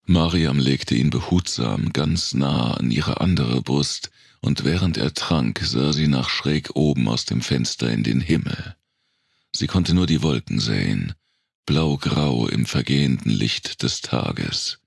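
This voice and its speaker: A man with a gravelly, husky voice reading scripted audiobook narration of a dark thriller. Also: a bass voice, lively intonation, unhurried, no echo, clean studio sound.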